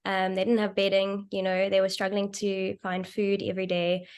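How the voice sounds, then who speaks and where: Young South African woman, panelist; steady, earnest, monotone, a few ums. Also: mid-range voice, moderate pace, clear, resonant tone. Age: young adult. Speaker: panelist, medical doctor. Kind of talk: panel discussion.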